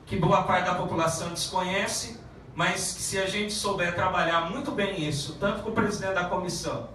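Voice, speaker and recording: A man who is a federal deputy giving a halting public speech at a meeting, with many restarts and fillers. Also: high-pitched, steady tone, sharp tone, a little hiss or hum. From Paraná, Brazil. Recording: echoey room from far off.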